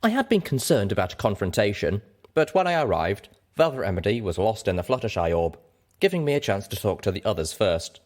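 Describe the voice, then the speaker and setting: Male audiobook reader, deep pitch, scripted first-person fiction narration. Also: sing-song, fairly quick, a little mellow.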